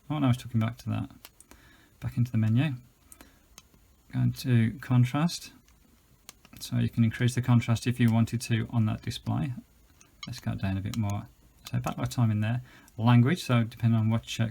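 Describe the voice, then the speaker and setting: Gravelly British man demonstrating gadgets. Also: mid-range voice, darkish tone. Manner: chatty, informal, hesitant while demonstrating. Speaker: gadget reviewer and video host. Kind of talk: casual unscripted product demonstration.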